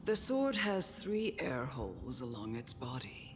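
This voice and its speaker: Wise old death guardian in a game, really slow, swoopy solemn voice, music behind. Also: medium pitch, big pitch swings. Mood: grave storytelling. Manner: measured, solemn, storytelling. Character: grave, wise, ancient guardian of death counseling a child. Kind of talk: solemn video game voice acting.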